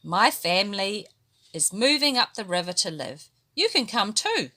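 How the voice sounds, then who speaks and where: A calm, wise female eel speaks gently and kindly, as a woman reading a storybook puts her on. The tone is bright and unhurried, the steady voice of a caring friend. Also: medium pitch, exaggerated voice.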